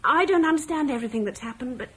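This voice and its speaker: An actress playing a candid, gentle young Roman farmer's daughter in a radio drama. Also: female voice, high voice, lively intonation, rapid-fire. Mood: admiring. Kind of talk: British radio drama acting.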